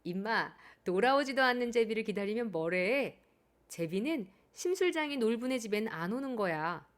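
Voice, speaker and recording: A woman's voice: a little high, expressive, normal speed, lightly acted. A woman voicing a boastful village boy in a children's story, mocking and teasing.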